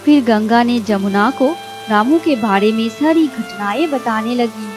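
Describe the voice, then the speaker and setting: High-voiced woman narrating a children's story. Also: measured pace. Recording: music behind the narration.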